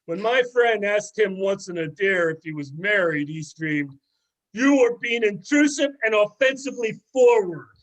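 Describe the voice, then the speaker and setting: Sardonic middle-aged ex-student, played by a man, high male voice, gossipy and mocking, amateur readers theater. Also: lively intonation, moderate pace, poor audio. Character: sardonic middle-aged former student dishing on an old teacher. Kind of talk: amateur readers theater, conversational script reading.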